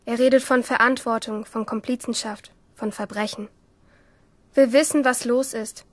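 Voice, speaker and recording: Intense schoolgirl, played by a woman, high-pitched. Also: steady tone, normal speed, dry recording, natural voice. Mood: tense, observant. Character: troubled, yearning teenage schoolgirl narrating her inner life. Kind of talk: first-person radio drama monologue. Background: music under the voice.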